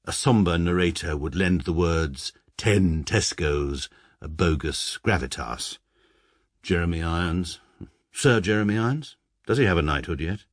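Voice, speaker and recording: A man's voice: sits low, unhurried, dry recording, clean studio sound. A man with a British accent narrating as a precise, pedantic middle-aged barrister in a radio comedy. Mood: dry. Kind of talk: first-person radio narration.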